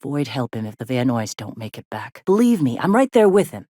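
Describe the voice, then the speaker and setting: Blunt, weary rebel medic, low-voiced. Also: female voice, sing-song, a little fast, mellow, no room sound, studio quality, full character voice. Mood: frustrated, angry. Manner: blunt, earthy, plain-spoken, sardonic. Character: tough, weary, foul-mouthed rebel medic and second-in-command. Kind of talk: video game dialogue acting.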